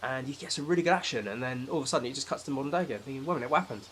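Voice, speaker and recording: A man's voice: mid-range voice, expressive, very fast, a bit bright. A man with a British accent chatting casually as a podcast guest, blunt and opinionated. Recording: clear background noise.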